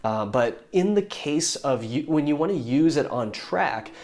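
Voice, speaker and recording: Hoarse, chatty young man explaining. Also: a little high, lively intonation, measured pace, a little mellow. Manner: enthusiastic, rambling with fillers and restarts. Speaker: YouTube car reviewer. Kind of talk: casual explainer talk.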